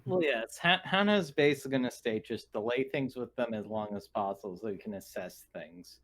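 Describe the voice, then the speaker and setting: A man talking casually as a tabletop roleplaying player, planning in a halting, think-aloud way. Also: mid-range voice, fairly bright tone.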